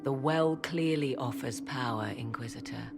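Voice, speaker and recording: An actress playing a haughty, cunning witch in a fantasy game, with a theatrical, disdainful and deliberate delivery. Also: a bass voice, music in the background. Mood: persuasive. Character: haughty, cunning witch adviser, scornful and tempting. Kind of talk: dramatic fantasy game acting.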